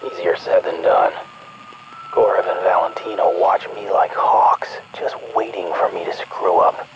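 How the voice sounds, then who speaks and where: An actor playing a nervous, resentful scientist in a game's radio transmission, talking in an uneasy, grumbling, conversational way. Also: male voice, sits low, steady tone, a little slow, creaky. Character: nervous, resentful male scientist in secret collusion. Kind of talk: video game radio transmission acting. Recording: muffled, noisy radio transmission.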